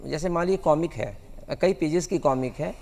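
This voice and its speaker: Creaky high-pitched man, halting explainer. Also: darkish tone. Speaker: guest cartoonist. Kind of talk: studio interview.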